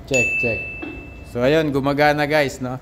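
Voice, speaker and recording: A ship's officer walks through a piece of equipment in an easygoing way, tossing in tag questions and restarting his sentences. His voice sits at an ordinary pitch for a man. He is recorded on a handheld camera aboard a vessel, with faint background noise. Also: fairly even intonation, a little slow. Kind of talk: casual instructional demo. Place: aboard a ship.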